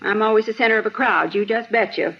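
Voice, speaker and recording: A woman's voice: mid-range voice, quick pace, natural voice. A woman playing a fussy, chatty older bank clerk who rambles indignantly, in a 1950s radio drama. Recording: muffled 1950s radio recording, faint hiss.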